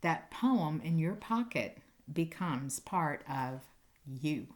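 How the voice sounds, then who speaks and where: Female teacher, slightly lower register, expressive poetry reading mixed with casual, enthusiastic talk to kids. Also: slow pace. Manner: playful, animated, emphatic on sound words; encouraging, enthusiastic. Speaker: teacher reading poems to pupils. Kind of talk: expressive poem reading and casual chat to children.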